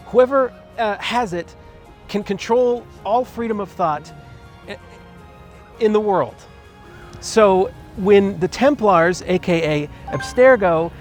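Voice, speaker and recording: High-pitched sarcastic male movie reviewer. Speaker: host of a movie review show. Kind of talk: scripted comedic review narration. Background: background music.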